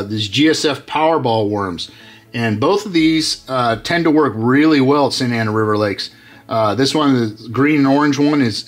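A middle-aged man hosting a fishing channel, casually walking through how he rigs baits, with lots of fillers and restarts. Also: lowish voice. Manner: relaxed, many fillers and restarts. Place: workshop with tackle-covered walls.

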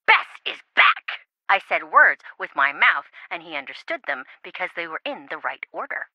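An actress playing a bubbly, scatterbrained young best friend in a video game, chatty, playful and melodramatic, in band-limited audio. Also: female voice, fairly low pitch, very animated, moderate pace, sharp tone, no room sound, muffled recording, heavily acted. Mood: playful, excited. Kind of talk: video game phone-call dialogue.

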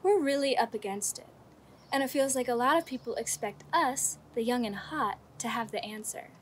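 Young woman giving a deadpan comic speech. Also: on the high side, moderate pace, slight background noise. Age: young adult. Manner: deadpan self-mocking humor. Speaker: senior class speaker. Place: outdoors in a home garden and porch.

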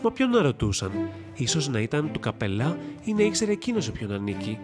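A male storyteller narrates a children's fairy tale in scripted style. His voice sits at a middle pitch for a man. Prominent instrumental music plays behind him throughout. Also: sing-song.

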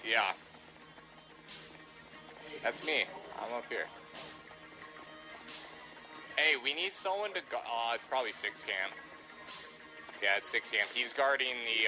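A young man playing a roleplay shooter game, giving quick orders and calling everyone dude. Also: mid-range voice, rough recording. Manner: bossy, gives quick orders, says dude a lot. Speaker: gamer streaming roleplay. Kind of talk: casual game chat. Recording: music playing behind.

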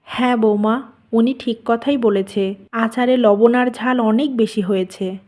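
Woman acting a high-voiced, concerned mother-in-law. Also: fairly even intonation, unhurried, mellow, close to an everyday voice. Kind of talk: melodramatic cartoon dialogue.

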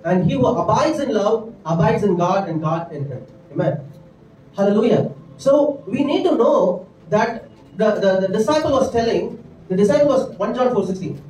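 Indian pastor guy preaching, highish voice, echoey church hall, mic a bit far. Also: expressive, normal speed, darkish tone, a little hiss or hum. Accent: Indian English. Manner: earnest preaching with frequent "right?" and "amen" asides. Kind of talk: sermon. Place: church stage with pulpit. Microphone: handheld mic. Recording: echoey church room miked from a distance.

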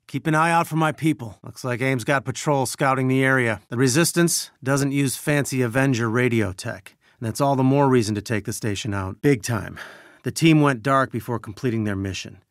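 A man's voice: medium pitch, normal speed, dry recording, studio quality, close to an everyday voice. A man playing an earnest scientist who leads a resistance, briefing a team in a businesslike, urgent way in a video game. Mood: concerned, sober. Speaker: game character giving mission briefings. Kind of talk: video game mission dialogue.

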